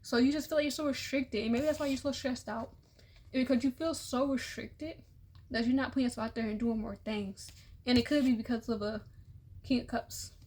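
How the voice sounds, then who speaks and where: A young woman with a slightly high voice gives a relaxed tarot reading. She is losing her voice and sounds a little worn. She talks in a loose, repetitive way and sometimes drops to a soft murmur. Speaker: tarot reader. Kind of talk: casual tarot reading for all zodiac signs.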